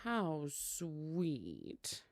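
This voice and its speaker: A woman's voice: low voice, huge pitch swings, extremely slow, no room sound, clean studio sound, heavily acted. A woman playing a teasing, courtly vampire hostess in a scripted ASMR roleplay, speaking in a coy, formal way.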